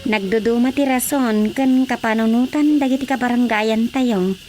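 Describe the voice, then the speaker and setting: A woman's voice: on the high side, normal speed, over music, close to an everyday voice. A woman with a Filipino accent playing an earnest village daughter in a radio drama. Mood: explaining. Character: earnest village daughter explaining local worries.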